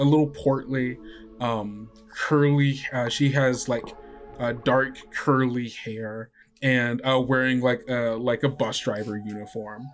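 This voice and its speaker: A man narrating as game master of a tabletop podcast, unhurried and often pausing on fillers. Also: medium pitch. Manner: unhurried, hesitant with many fillers.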